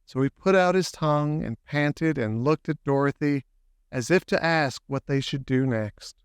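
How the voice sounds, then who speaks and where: Male audiobook narrator for children's fiction: slow, clear delivery, dry close sound. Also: a little high, dry recording. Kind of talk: scripted children's story narration.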